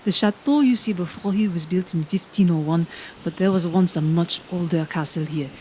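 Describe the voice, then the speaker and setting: A formal, mysterious woman guide recounts old legends, acted by a woman. Her voice is a little low. She speaks in an ornate, theatrical storytelling manner suited to audio drama. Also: moderate pace, a little mellow, a little hiss or hum, lightly acted. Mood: ominous, formal.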